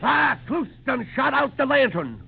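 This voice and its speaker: An actor in a 1940s radio drama playing a plain-spoken, kindly mountain homesteader with a folksy backwoods drawl. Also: male voice, extremely high pitch, big pitch swings, a little slow, vocal fry, exaggerated voice. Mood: alarmed. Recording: tinny, noisy 1940s radio recording.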